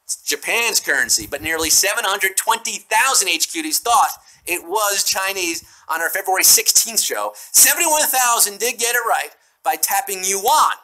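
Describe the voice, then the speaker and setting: Bright-voiced, punny quiz show man. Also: lively intonation, moderate pace, poor audio. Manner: playful, punny, high-energy banter. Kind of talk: live trivia game show hosting.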